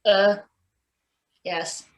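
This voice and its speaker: A woman playing a timid, evasive maintenance worker, meek and hesitant, in a table read of a satirical play. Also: very slow. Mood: hesitant, defensive.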